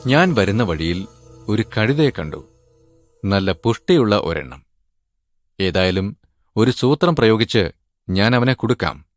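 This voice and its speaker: A man doing a sly flattering fox. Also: on the low side, unhurried, pauses often. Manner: flattering, scheming. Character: sly quick-witted male fox. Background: background music.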